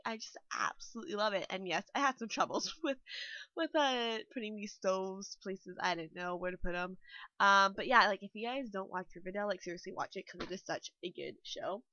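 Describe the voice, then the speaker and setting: Bright nasal young woman, chatty. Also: medium pitch, dry recording. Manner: rambling, chatty, full of fillers. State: still a little sick. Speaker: game builder vlogger. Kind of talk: casual voice-over walkthrough. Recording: dull dry lo-fi mic.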